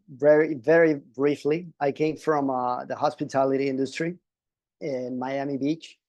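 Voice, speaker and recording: Halting man, native Spanish speaker. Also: medium pitch, dark tone, no room sound. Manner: halting, many restarts and fillers. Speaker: webinar panelist. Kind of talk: casual panel talk.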